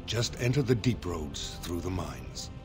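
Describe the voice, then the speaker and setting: An actor voicing a dignified older dwarf lord in a fantasy video game, speaking formally and with measured gravity. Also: male voice, sits low, lively intonation. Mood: grave, formal. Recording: game dialogue over prominent music. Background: loud background music.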